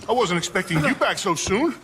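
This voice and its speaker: A flustered small-town lawman in a video game, speaks haltingly in a high, lively voice. There is clear noise behind him.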